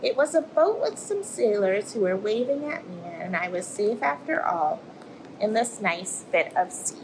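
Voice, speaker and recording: Woman reading as lively, plucky little storybook octopus. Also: mid-range voice, measured pace. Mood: relieved, cheerful. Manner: expressive storytelling. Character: friendly, plucky little octopus telling his tale. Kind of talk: rhyming picture-book read-aloud. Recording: clear background noise, aquarium room ambience.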